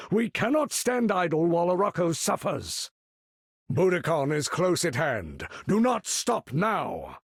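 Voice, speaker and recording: An actor playing a proud, imperious older mutant leader in a video game, speaking in a grand, commanding, formal manner with a husky, gravelly voice. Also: male voice, a little high, dry recording, studio quality, exaggerated voice. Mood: urgent, commanding. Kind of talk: theatrical video game character acting.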